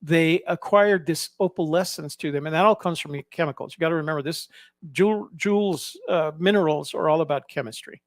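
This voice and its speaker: A man giving casual, explanatory commentary as a vlog host, in a halting way, often repeating and rephrasing himself. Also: a little high, no room sound, studio quality. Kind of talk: casual narrated photo commentary.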